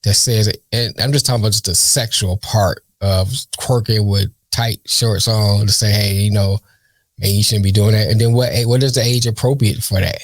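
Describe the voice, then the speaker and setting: Man, low voice, dry close sound, rambling and thoughtful, chuckles a lot. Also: fairly even intonation, moderate pace, no room sound. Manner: musing, rambling, laughs often. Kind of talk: casual chat.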